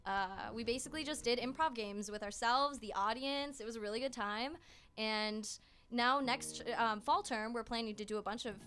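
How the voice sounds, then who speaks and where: A woman's voice: fairly high pitch, normal speed, pushed and bright, smooth, soft background music. A young woman, a student guest, chatting informally and upbeat in a radio interview. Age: young adult. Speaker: studio guest, student club member. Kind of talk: casual interview chat. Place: small radio studio. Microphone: studio microphone.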